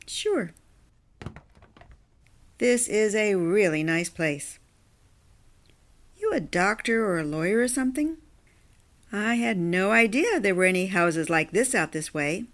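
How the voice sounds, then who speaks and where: Actress as a blue-collar woman plumber, deadpan, casual, slow delivery, medium pitch, audio drama. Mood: matter-of-fact.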